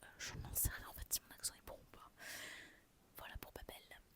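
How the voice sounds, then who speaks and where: A young woman whispering softly into her phone, chatting through a haul of books and souvenirs with a gushing, filler-heavy manner. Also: brisk. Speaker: vlogger.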